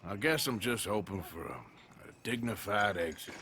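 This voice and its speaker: An actor playing a weary, guilt-ridden American outlaw in a video game, blunt and plain-spoken with a drawl. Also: male voice, on the low side, moderate pace, sharp tone. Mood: weary, subdued. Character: weary, gruff outlaw man, guilt-ridden but protective. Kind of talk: cinematic video game acting.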